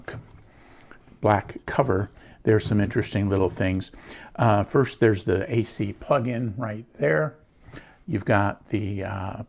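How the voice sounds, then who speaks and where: An American man giving a casual product walkthrough, explaining things in an unhurried, plain-spoken way. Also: on the low side, creaky, darkish tone. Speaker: host of a gadget review channel.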